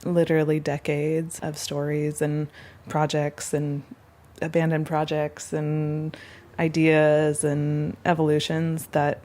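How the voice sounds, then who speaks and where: A woman's voice: sits low, monotone, slow pace, dark tone. A woman talking casually and reflectively in an interview. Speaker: interviewee, house resident.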